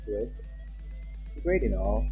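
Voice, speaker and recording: A man's voice: lowish voice, very animated, slow. A young man live-streaming a game, chatting casually and reading on-screen text aloud. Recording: dull lo-fi mic with background music. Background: game music.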